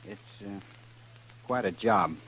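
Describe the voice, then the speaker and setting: A man's voice: on the low side, takes it slowly, a bit bright. A man with an American accent playing a guarded outlaw and protective brother in an old radio western, laconic and hesitant. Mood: evasive, reassuring. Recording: noisy old radio recording with hum.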